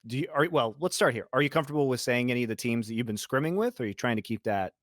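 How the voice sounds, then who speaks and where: A man hosting an esports stream show, playful and quick to laugh while reading promos and asking questions. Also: medium pitch, lively intonation, dry recording, clean studio sound. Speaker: host.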